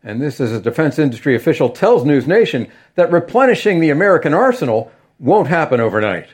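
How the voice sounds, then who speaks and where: A man filing a news report as a correspondent, with a measured, formal delivery. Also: fairly high pitch, very animated, slightly rough.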